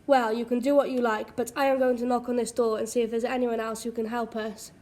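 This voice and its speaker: A woman's voice: a little high, level tone, fast talker, darkish tone, close to an everyday voice. A woman playing a sensible, bossy young sister in an amateur radio drama. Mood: scolding, brisk.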